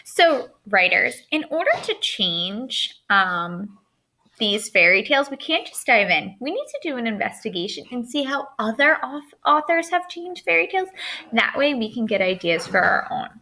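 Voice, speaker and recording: A woman's voice: on the high side, big pitch swings, moderate pace, pushed and bright, a little hiss or hum. A woman giving a remote writing lesson as a schoolteacher, speaking directly to her pupils, explaining and asking questions. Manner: instructional, addresses listeners directly with questions. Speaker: elementary school writing teacher. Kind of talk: remote lesson with storybook read-aloud.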